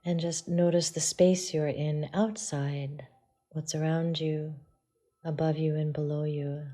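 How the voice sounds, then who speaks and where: A woman teaching yoga meditation, guiding listeners in a soft, hushed delivery with pauses. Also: low-pitched, flat delivery. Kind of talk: guided meditation.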